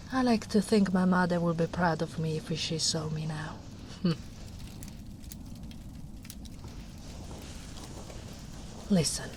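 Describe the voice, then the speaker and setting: A tender, protective big ogre woman, played by a woman speaks in a hushed, half-whispered murmur. Her voice sits a little low and moves at a very slow, unhurried pace, like a soft-spoken storyteller by the fire. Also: a little hiss or hum, close to an everyday voice. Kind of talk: soft-spoken ASMR roleplay.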